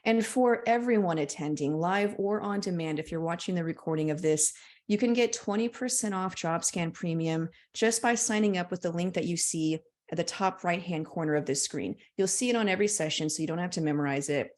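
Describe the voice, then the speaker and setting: A woman hosting an online webinar, giving a clear promotional introduction with a few fillers over a video call. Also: fairly low pitch, fairly quick, darkish tone. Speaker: event host.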